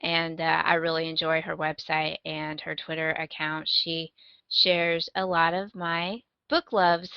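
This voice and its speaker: A woman's voice: deep voice, little pitch movement, measured pace, gravelly, clean tone, no room sound, dull audio. A woman co-hosting a podcast, chatting casually and giving recommendations. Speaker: podcast co-host.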